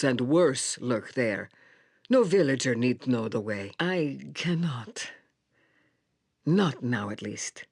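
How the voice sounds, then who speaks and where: An old female village elder and teacher of magic. She is grave yet courteous, talking very slowly and haltingly in a husky, breathy voice. It is fantasy game acting with a close, dry sound. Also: rumbling low, dry recording, heavily acted. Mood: grave, guarded. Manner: slow, solemn, halting, courteous.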